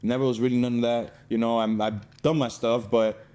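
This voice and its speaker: A man's voice: medium pitch, little pitch movement, fairly quick, dark tone, clear, resonant tone. A young man from Cuba telling his personal story as a podcast guest in a casual, streetwise way, with slang and swearing.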